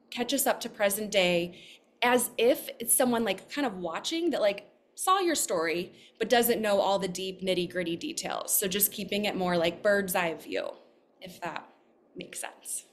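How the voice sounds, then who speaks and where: A woman hosting an interview in a casual, conversational way, peppering her informal questions with "like" and "kind of". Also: mid-range voice. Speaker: podcast host and interviewer.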